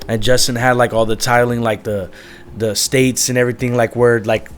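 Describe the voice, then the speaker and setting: A man's voice: mid-range voice, little pitch movement, brisk, faint music behind. A young man, a musician guest, talking candidly in a rambling way, full of "like" fillers. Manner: rambling, heavy use of "like" as filler. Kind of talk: candid casual conversation.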